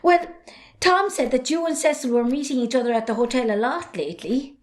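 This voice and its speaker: A cheeky, outspoken young Irishwoman , acted by a woman, with a high voice. She is a farmer's daughter in drama dialogue, defiant and sardonic, holding her ground. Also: animated, fairly quick. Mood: prying, concerned.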